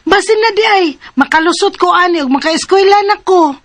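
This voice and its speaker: A woman's voice: very high-pitched, fairly quick. An actress playing an earnest fifteen-year-old girl who pleads, in a radio drama. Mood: eager, insistent. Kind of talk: radio drama acting and narration. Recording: radio drama with music behind.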